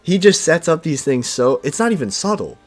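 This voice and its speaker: A man's voice: on the high side, sing-song, brisk, soft background music. A man in a casual, enthusiastic fan discussion, peppering his talk with "like" and "you know". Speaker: podcast speaker discussing manga.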